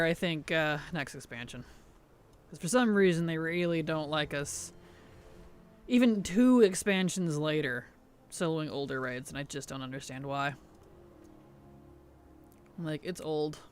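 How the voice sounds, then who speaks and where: A streamer chatting casually while playing a game and answering chat, speaking around a cough drop with a slightly rough, sensitive throat. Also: medium pitch, a little slow, long pauses.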